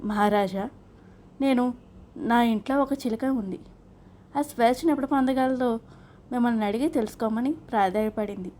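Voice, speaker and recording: An earnest, simple parrot owner from a children's storybook, played by a woman explains himself respectfully. The voice is mellow and fairly high, with faint noise behind it. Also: moderate pace, pauses often, close to an everyday voice.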